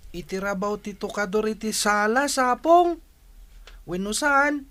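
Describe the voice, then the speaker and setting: A woman's voice: medium pitch, expressive, measured pace, a bit bright. A woman acting a helpful, naive and respectful village youngster in a radio drama, with an Ilocano accent and a lilting, inquisitive manner. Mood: curious, helpful.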